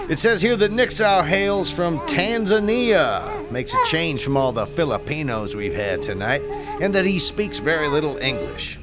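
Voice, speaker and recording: An actor playing the smooth, mocking and callous son of a powerful rich family in an audio drama. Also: male voice, mid-range voice.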